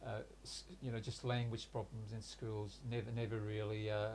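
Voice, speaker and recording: Halting older man, flat even voice. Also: fairly low pitch, a little hiss or hum. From Hungary, raised in Australia. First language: Hungarian. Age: 60s. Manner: halting, hesitant, many pauses and restarts. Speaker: interviewee recounting his life. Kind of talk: reflective life-story interview.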